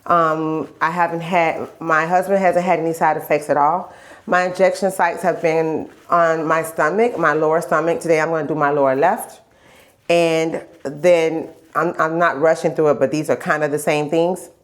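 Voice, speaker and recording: Woman vlogging, kinda low voice, stuffed up from a cold, candid rambly chat about herself. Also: fairly even intonation, normal speed. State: stuffy, getting over a cold. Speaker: vlogger sharing health journey. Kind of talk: casual personal video diary chat.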